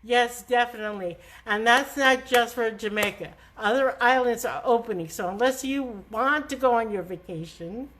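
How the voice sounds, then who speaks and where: A woman's voice: a little high, normal speed, hardly any pauses, fairly bright tone. A middle-aged woman with a New York accent hosting a live travel talk, emphatic and scolding in a chatty way. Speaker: travel agent host.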